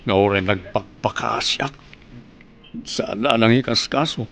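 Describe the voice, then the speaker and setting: A man's voice: on the low side, unhurried, vocal fry, a bit bright, a little hiss or hum. An actor with an Ilocano accent playing a heartbroken, devoted father, pleading melodramatically in a radio drama. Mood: anguished.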